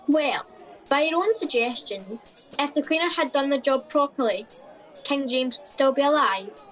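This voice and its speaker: High-voiced, deadpan interviewer. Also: female voice, moderate pace, soft background music, rough recording. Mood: dry, probing. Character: dry, patient time-travelling interviewer with a microphone. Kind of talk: radio comedy play acting.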